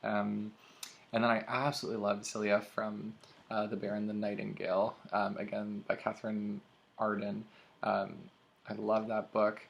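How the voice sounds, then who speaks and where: Gravelly, low-voiced young man chatting. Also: completely flat delivery, normal speed. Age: young adult. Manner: chatty, many ums and uhs. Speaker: booktuber. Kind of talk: casual book tag vlog.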